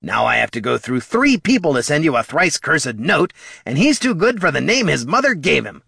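Male reader doing a proud small kobold, gruff street talk, higher pitch, creaky, audiobook. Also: big pitch swings, moderate pace, bright, forceful tone, dry recording, clean studio sound, exaggerated voice. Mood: irritated. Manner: colloquial, gruff, growling when riled. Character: scrappy small male kobold, plain-spoken, proud, prickly. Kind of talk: audiobook character voice.

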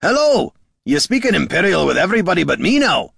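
Man playing a gruff, wry fantasy warrior. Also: fairly low pitch, expressive, normal speed, a bit bright, dry recording, pitched above the performer's own voice, exaggerated voice. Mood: hearty. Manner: gruff, dry, archaic "aye" and "ye".

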